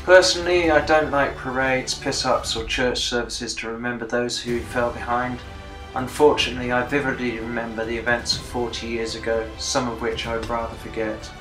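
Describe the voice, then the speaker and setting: A man's voice: medium pitch, level tone, normal speed, echoey room, music in the background, rough recording. A British man in his sixties, a veteran narrating a video diary of a commemorative bike ride in a plain-spoken, dryly understated way. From Britain. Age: 60s. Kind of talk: reflective voice-over narration.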